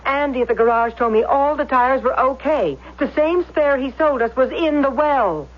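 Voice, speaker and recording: An actress playing a troubled, suspicious wife, hesitant and probing, in a 1970s radio drama. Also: female voice, high voice, animated, moderate pace. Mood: tense, accusing. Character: troubled, suspicious wife confronting her husband. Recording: muffled old radio recording.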